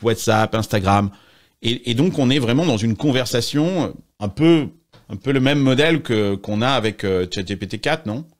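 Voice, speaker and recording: A male podcast host in casual tech chat. He teases his guests and his pitch leaps up and drops low as he talks. His voice sits in a middling register. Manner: teasing, animated, swings up and down in pitch.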